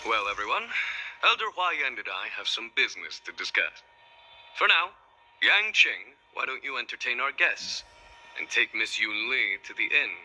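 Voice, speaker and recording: A man's voice: medium pitch, very animated, normal speed, bright, forceful tone, a little hiss or hum, rough recording. A man playing a composed, courteous general in an anime-style video game, speaking formally and diplomatically. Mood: courteous, commanding. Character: composed, courteous, shrewd male general of a fantasy fleet. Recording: game music under the voice.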